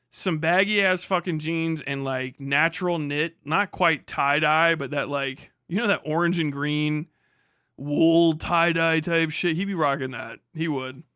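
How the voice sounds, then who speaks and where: A man's voice: fairly high pitch, pushed and bright, smooth, no echo, studio quality. A man livestreaming in a casual, comic style, riffing animatedly with plenty of slang and swearing. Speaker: live streamer.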